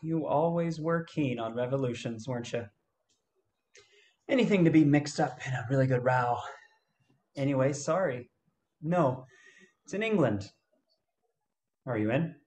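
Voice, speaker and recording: A man playing an easygoing gold-hunting adventurer in a radio play, telling his story in a halting way. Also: medium pitch, darkish tone, lightly acted.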